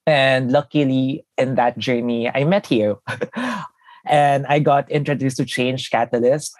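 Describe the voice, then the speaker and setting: A man's voice: mid-range voice, no room sound. Young filipino-born guy, earnest, laughy, dry sound. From Philippines. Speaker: podcast guest, finance analyst. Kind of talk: casual interview storytelling.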